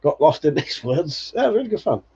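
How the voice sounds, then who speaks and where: A man in his forties talks loosely on a live stream, reading viewer comments and drifting into nostalgic memories. He swears freely and laughs often, and his voice sits a little high for a man. The sound is dull and lo-fi. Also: sing-song, moderate pace, darkish tone, breathy edge. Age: 40s. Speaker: livestream host.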